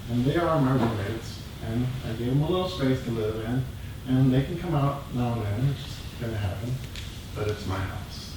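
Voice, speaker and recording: A man speaking casually and reflectively as a group member, in a halting, plainspoken way, acting out his own self-talk. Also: mid-range voice, animated. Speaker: group member sharing in a dharma discussion. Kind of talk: reflective casual personal sharing. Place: meditation group meeting room. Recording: echoey room, distant mic, clear noise.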